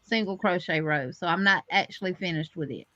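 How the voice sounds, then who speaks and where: A woman's voice: fairly low pitch, brisk, bright, forceful tone, highs cut off. A woman co-hosting a live crochet show, talking in a chatty, plainspoken way. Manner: chatty, plainspoken, full of fillers. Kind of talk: casual chat on a livestream.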